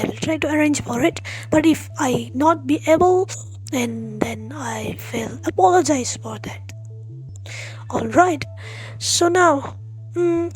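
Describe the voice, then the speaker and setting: A woman hosting a tech tutorial, explaining step by step in a halting, friendly way and speaking straight to her viewers. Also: sits high. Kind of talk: spoken tutorial walkthrough.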